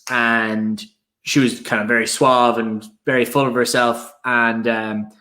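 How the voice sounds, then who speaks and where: A man's voice: mid-range voice, flat delivery, measured pace, bright, forceful tone. An Irish man hosting a casual live stream, telling stories with lots of fillers and restarts. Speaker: host.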